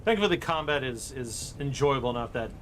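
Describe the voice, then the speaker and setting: A man's voice: medium pitch, animated, brisk, a bit bright, a little hiss or hum. A man giving casual, chatty live commentary as a video game streamer, informally talking to viewers. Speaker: streamer, speedrunner. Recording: game music behind the voice. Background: video game music.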